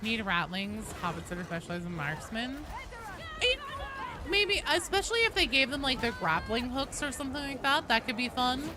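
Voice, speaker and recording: A woman's voice: fairly high pitch, sing-song, moderate pace, music in the background. A woman chatting casually and swearing freely while she live streams a game. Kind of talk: casual live gaming chat.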